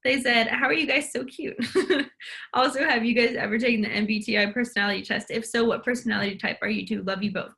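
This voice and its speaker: A woman's voice: fairly high pitch, fairly even intonation, fast, gravelly, sharp tone. A woman in her early twenties co-hosting a casual Q&A video, giggly and full of "like". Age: early 20s. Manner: giggly, heavy use of "like". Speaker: YouTube co-host. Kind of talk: casual Q&A chat.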